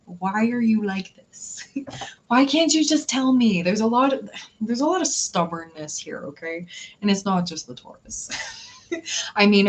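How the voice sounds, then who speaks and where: A woman's voice: mid-range voice, slight background noise, rough recording. A woman hosting a tarot livestream in casual, rambling chat with viewers, laughing often. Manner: chatty and giggly, laughs often; rambling, with restarts and filler words. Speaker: tarot reader and livestream host.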